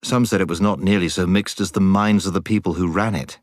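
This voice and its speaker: A British man narrating a storybook as a scripted audiobook, in an expressive storytelling manner. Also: deep voice, fairly quick, dry recording, clean studio sound.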